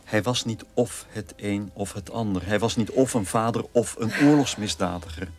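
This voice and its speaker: An actor playing the bitter, idealistic son of a war criminal, speaking in an earnest, accusatory way in a radio play. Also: male voice, on the low side, moderate pace, soft background music, lightly acted. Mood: bitter, defiant.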